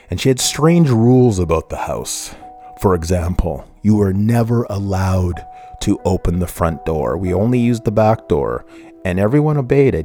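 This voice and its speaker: A man's voice: low voice, mellow. A middle-aged man, hosting a true crime podcast and telling a personal story in a somber, rambling way, often correcting himself. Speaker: podcast host.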